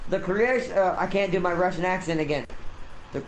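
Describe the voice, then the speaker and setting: A man giving casual gameplay commentary and teaching a co-player, halting and often repeating himself. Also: high voice. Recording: roomy echo, loud background noise.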